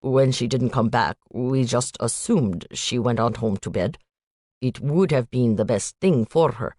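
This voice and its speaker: A polite, soft-spoken young man with a questioning lilt, his voice dropped low, as a woman reads him. Dry, close audiobook recording. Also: a little slow, no echo. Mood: concerned.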